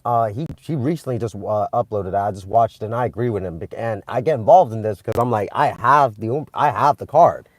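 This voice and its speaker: A young man from Vietnam chatting with live-stream viewers, excitable and rambling, with many restarts and fillers. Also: on the low side, a little fast, hardly any pauses, dry recording. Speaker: channel host streaming live. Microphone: phone.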